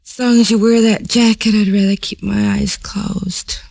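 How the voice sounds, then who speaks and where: A woman's voice: mid-range voice, little pitch movement, normal speed, creaky, darkish tone, close to an everyday voice. An American woman playing a wry, exasperated society girlfriend in old radio drama, with a dry, sarcastic delivery. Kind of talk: 1940s-50s radio acting. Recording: old radio recording with music behind.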